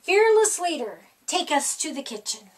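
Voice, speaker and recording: A woman acts out an encouraging storybook schoolteacher. She is high-voiced and emphatic, rallying young children with very expressive swings of pitch. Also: normal speed, far from the mic. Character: encouraging female schoolteacher leading her young class.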